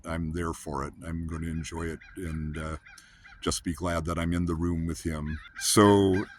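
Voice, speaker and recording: A man talks in a rambling, earnest way, restarting his sentences as he goes. His voice is very low and rumbly. A faint hiss sits behind him. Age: 50s. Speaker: host. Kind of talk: casual monologue.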